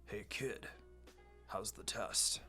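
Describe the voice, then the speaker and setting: A man voicing a huge, protective fox beast in a story, with a gruff, teasing, hushed manner. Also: fairly low pitch, steady tone, slow pace, voice pushed down, exaggerated voice. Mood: teasing, caring. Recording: music behind the voice.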